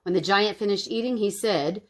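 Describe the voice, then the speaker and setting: A woman storyteller reading a picture book aloud to children in an expressive, dramatic and emphatic way. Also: mid-range voice, moderate pace.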